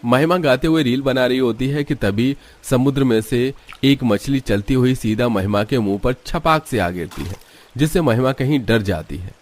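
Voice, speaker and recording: A man's voice: mid-range voice, moderate pace. A man reading scripted narration for a cartoon family story.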